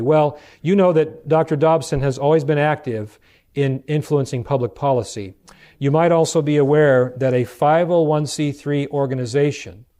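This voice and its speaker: An American man preaching in a lecture-like Bible study, explaining deliberately and putting rhetorical questions to his listeners. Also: mid-range voice, mellow. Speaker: preacher, church elder.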